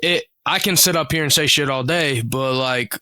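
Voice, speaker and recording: A man's voice: mid-range voice, normal speed, sharp tone, no room sound, studio quality. A man hosting a podcast over a video call, talking in a loose, casual way full of fillers. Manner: casual and loose, with lots of "like" fillers and mild swearing. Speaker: podcast host.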